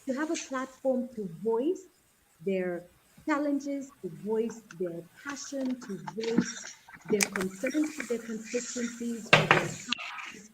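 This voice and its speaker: A soft-spoken woman, hosting an online panel discussion in a measured way over a video call. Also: on the high side, expressive, heavy background noise. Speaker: host.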